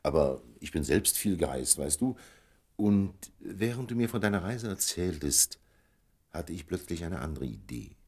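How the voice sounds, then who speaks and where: Indulgent gentleman fiancé, radio play, low gravelly voice, husky, suave, measured, expressive melody. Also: exaggerated voice. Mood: gently secretive. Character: indulgent, well-travelled gentleman fiancé, doting and quietly sly. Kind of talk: German radio play acting.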